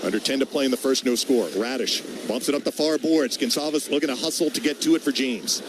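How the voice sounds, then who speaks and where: A man's voice: medium pitch, a little fast, background noise. A man doing live radio play-by-play of ice hockey in short, clipped running calls.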